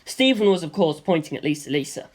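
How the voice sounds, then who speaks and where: A man reading a first-person action story aloud in a lively way, voicing gunfire sound effects himself. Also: a little high, quick pace. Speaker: audiobook reader.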